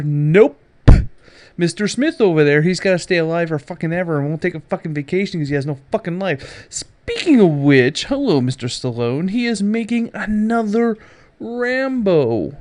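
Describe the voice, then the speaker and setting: A man's voice: on the high side, a little mellow. A man hosting a casual pop culture news podcast, emphatic, sarcastic and given to swearing. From Canada. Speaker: podcast host.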